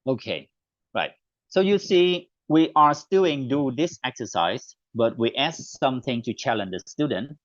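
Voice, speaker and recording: A man with a Vietnamese accent, whose first language is Vietnamese, leading an online teacher training session and slowing down on the phrases he models. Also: mid-range voice, lively intonation, no room sound. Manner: clear, deliberate classroom delivery, slows on model phrases. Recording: dull, dry online call audio.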